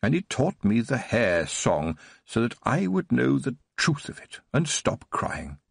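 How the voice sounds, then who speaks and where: A man voicing a frail elderly aristocrat who rambles through tearful, nostalgic memories in a husky, trembling voice. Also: mid-range voice, sing-song, a little slow, no echo, exaggerated voice. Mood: wistful, joyful. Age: older. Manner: trembling, wistful, rambling recollection.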